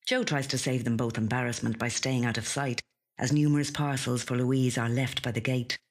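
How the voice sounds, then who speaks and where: An actor with a slightly husky, breathy voice reading a literary short story in a measured, hushed way. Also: medium pitch, steady tone. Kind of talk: scripted literary narration.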